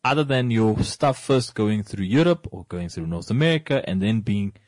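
A man with a deep bass voice, hosting a casual studio discussion and explaining points at length. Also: animated, moderate pace, a little mellow, dry recording. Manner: explains at length, rising in pitch when pressing a point. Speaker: radio show host. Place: broadcast studio.

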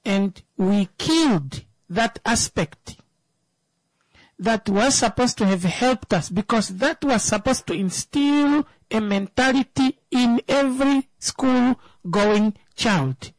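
A woman's voice: on the high side, expressive, slow pace, no room sound, low-quality recording. Emphatic woman with dry sound. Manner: emphatic, repeats key words for stress. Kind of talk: passionate opinion talk with storytelling.

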